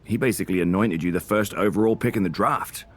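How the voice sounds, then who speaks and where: A deep, sly wrestler. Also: male voice, fast talker. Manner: friendly but needling. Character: sly male wrestler scheming over a draft pick. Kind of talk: backstage conversation.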